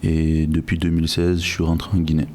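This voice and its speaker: Man from Guinea, 30s, guest on a podcast. Very low, dark, even delivery, measured, restarts often. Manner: measured, reflective, frequent restarts. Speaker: guest, logistics director. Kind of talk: podcast interview.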